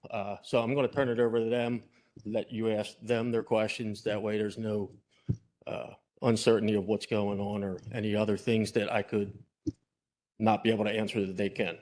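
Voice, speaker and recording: A man with a fairly low voice speaks as a borough wastewater director. He addresses the council hesitantly, leaning on "uh" between his phrases. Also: level tone, normal speed, darkish tone. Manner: hesitant, many fillers. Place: council chamber.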